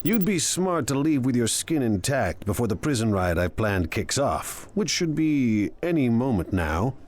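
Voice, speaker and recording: An actor playing a proud, ruthless crime lord in a video game, with an imperious, deliberate, menacing delivery. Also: male voice, mid-range voice, very animated, a little mellow. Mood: smug menace. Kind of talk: dramatic video game acting.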